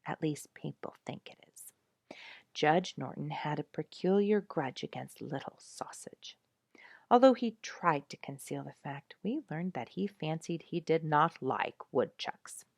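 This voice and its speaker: A woman reading an animal storybook aloud in an expressive, animated storytelling manner, as if for listeners following the pictures. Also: low-pitched, unhurried, vocal fry, no room sound, clean studio sound. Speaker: storybook reader.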